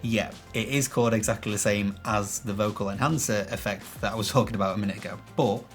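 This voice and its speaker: A man with a low, bassy voice walks viewers through an editing trick in a chatty, self-deprecating tutorial. He is recorded on a shotgun microphone in a small room with some echo. Faint music plays softly underneath. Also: fast talker. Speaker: video tutorial host. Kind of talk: casual tutorial talk to camera.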